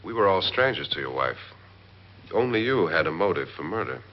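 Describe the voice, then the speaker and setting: An actor playing a practical, suspicious guest, speaking bluntly in 1950s horror film style. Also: male voice, low-pitched, very animated, brisk. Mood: challenging. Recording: muffled 1950s film soundtrack.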